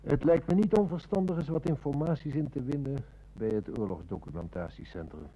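An actor plays a shrewd male police inspector in a radio drama. His voice is mellow and mid-pitched. He speaks dryly and with deliberation, weighing the case. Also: animated, brisk, dull audio, close to an everyday voice. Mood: dry, musing. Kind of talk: Dutch radio play acting.

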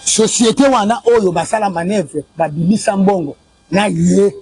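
A man's voice: sits high, measured pace, vocal fry, a little mellow, slight background noise, rough recording. A Congolese man in his forties, interviewed outdoors on a lapel mic, insistently recounting a family money dispute and repeating dates and sums. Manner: insistent, repeats dates and figures, waves documents. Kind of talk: street interview, recounting a complaint. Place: outdoor courtyard by a building.

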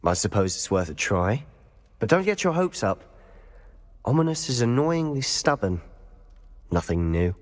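A man's voice: mid-range voice, sing-song, measured pace, pauses often. A man playing a determined, intense teenage schoolboy wizard in a video game, with an urgent, confident delivery. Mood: frustrated. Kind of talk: dramatic video game voice acting. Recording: reverberant stone hall, game music.

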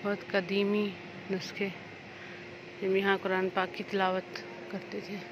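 A woman's voice: mid-range voice, completely flat delivery, a little slow, highs cut off, noisy background. A woman making short casual remarks as she films inside a large mosque hall on a phone. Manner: brief pointing-out comments.

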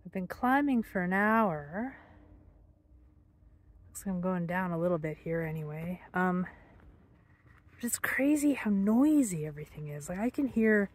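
A woman's voice: lowish voice, lively intonation, measured pace, long pauses, slightly rough. A middle-aged woman chatting casually and musingly to her phone as she films a hiking vlog outdoors. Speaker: hiking vlogger. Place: outdoors on hillside forest trails. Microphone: handheld phone at arm's length. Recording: outdoor phone audio with background noise.

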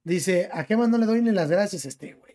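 Ranting young Mexican streamer. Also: male voice, high voice, expressive, fairly quick, a little mellow. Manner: ranting, fillers every phrase with slang. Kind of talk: reacting to clips on a live stream. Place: small bedroom. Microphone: gaming headset.

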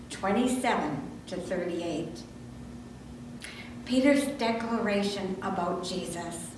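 An older woman reads liturgy aloud at a slow, solemn pace. She sounds distant from the microphone in a very reverberant church, with noticeable noise. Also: medium pitch. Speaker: lay reader in church service. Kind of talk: scripted liturgy and scripture reading. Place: medium-sized church sanctuary, indoors. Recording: very echoey church, distant mic, noisy.

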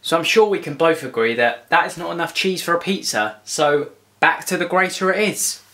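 A man's voice: a little high, lively intonation, moderate pace, sharp tone. A young man casually narrating a home cooking vlog in a playful, cheeky way. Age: young adult. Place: small home kitchen, indoors. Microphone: camera a short distance away. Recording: clear background noise, home camera microphone.

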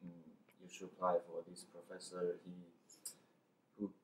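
A young man, a PhD student introducing himself in a halting, shy way. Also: deep voice, completely flat delivery, a bit bright. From China. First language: Chinese. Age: young adult. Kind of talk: casual self-introduction. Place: conference room. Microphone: distant camera mic. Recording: roomy, distant mic.

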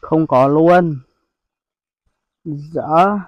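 Young man, fairly high voice, casual outdoor vlogger, dry close phone audio. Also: drawn-out words, no room sound, lo-fi sound. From Vietnam. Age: young adult. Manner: chatty, addresses viewers as brothers, frequent exclamations. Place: outdoors among rice paddies and hills. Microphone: phone held at arm's length.

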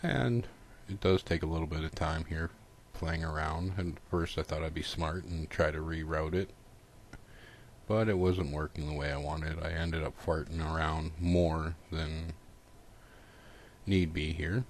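A man's voice: rumbling low, steady tone, measured pace, a little hiss or hum. A man casually narrating a step-by-step repair tutorial in an informal, folksy, unscripted way.

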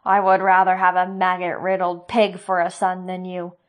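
Woman doing a furious male butcher, snarling, slow and loud, flat pitch, storybook villain. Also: faint music behind, natural voice. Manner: bitter, snarling accusations.

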